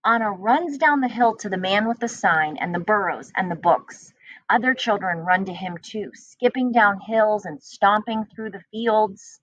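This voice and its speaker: A woman reading a children's storybook aloud as a teacher, with expressive storytelling. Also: medium pitch, measured pace, no echo, lo-fi sound.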